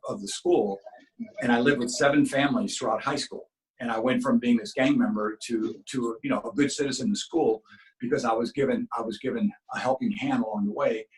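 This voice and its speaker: A man's voice: medium pitch, poor audio. A middle-aged man, born in Cuba with Spanish as his first language, telling stories as an actor guest in a casual interview, earnest and full of restarts. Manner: earnest, talks in long runs with many restarts.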